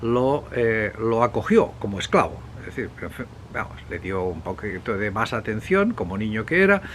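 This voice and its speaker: A man from Spain presenting a history video in an unscripted, conversational way, rambling and hesitant, with pauses, digressions and self-corrections. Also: on the low side. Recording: clear steady background noise.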